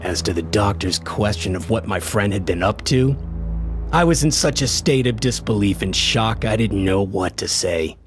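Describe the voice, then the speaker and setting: A man's voice: on the low side, expressive, moderate pace, music in the background, lightly acted. A man playing a shaken, grave witness who narrates a radio horror drama in a somber, dramatic way. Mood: shaken, ominous. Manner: somber, dramatic first-person storytelling. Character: shaken, grave male friend narrating a horrible mystery.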